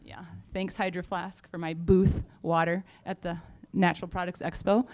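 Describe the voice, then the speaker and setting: A woman's voice: fairly low pitch, level tone, normal speed, a little mellow, faint music behind. A woman company founder giving an informal update on stage, speaking in a frank, humorous, chatty way. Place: on stage at a live event.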